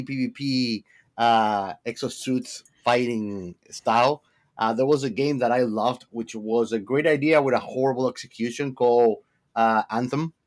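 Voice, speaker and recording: A man talks casually as a podcast co-host. His voice sits at a medium pitch, and his phrasing is loose, informal and slangy. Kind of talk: casual chat.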